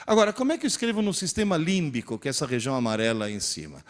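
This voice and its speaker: A man's voice: medium pitch, very fast. A man in his sixties giving a lecture to teachers, telling anecdotes and leading the audience through hands-on exercises. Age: 60s. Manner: animated storyteller who leads the audience in exercises. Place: live event in front of an audience.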